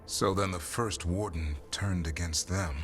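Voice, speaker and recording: Calm, gruff griffin-keeping warden for a fantasy game, low voice, terse lines, music underneath. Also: male voice, measured pace. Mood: grim. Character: steady, gruff male Grey Warden and griffin handler. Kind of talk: video game voice acting.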